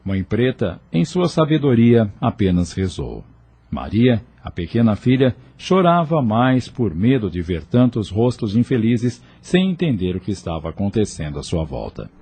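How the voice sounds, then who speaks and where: Guy narrating an audio novel, lowish voice, lots of intonation, music behind. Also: big pitch swings, moderate pace, mellow, breathy edge, dry recording. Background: instrumental music.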